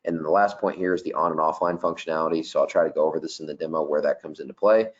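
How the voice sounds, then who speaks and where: A man giving a casual software product presentation in a webinar, with lots of "um" and "you know". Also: rumbling low, fairly even intonation, very fast, muffled recording. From not Danish. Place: office.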